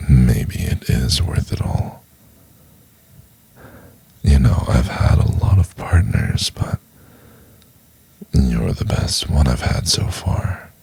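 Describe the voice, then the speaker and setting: A man playing a domineering, possessive CEO boss in an intimate ASMR roleplay, in a gravelly, breathy half-whisper. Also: extremely low pitch, lively intonation, a little mellow, full character voice. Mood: seductive, possessive. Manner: slow, commanding, intimate.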